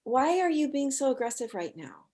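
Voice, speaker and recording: A middle-aged mother, acted by a woman who is polite on the surface and blunt underneath. The voice is on the higher side with expressive swings in pitch. It is a comedy table read. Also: normal speed. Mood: indignant. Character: polite but blunt 45-year-old suburban mother.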